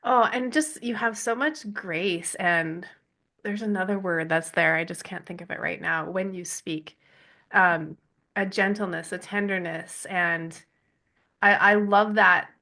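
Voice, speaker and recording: A woman hosting a heartfelt conversational interview. Her voice is bright and forward, and she speaks effusively, sometimes stopping to search for the right word. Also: lowish voice, measured pace. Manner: warm, effusive, searching for words. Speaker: podcast host.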